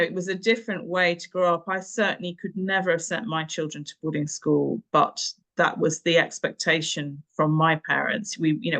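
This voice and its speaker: A woman's voice: deep voice, a bit bright. Woman in her fifties, reflective. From Nairobi, Kenya; boarding school in England. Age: 50s. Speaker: interview guest, English teacher and tutor. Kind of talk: conversational career reminiscence.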